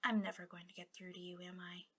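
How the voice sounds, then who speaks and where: A woman's voice: lowish voice, flat delivery, fast. A woman playing a bitter, impassioned female villain guarding a captive in an intimate ASMR roleplay, drifting between dramatic speech and whispers. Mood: resigned.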